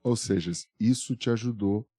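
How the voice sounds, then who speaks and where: Male podcast host, playful teaser, mellow tone. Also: on the low side, moderate pace, no echo, clean studio sound. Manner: joking, teasing interviewer. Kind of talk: casual interview chat.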